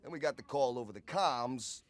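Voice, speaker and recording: An actor playing a cocky soldier who tells war stories casually in clipped, wry military slang for a video game. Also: male voice, medium pitch, animated, moderate pace, close to an everyday voice. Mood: cocky, animated. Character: cocky male soldier swapping war stories with his squadmate. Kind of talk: casual in-character storytelling.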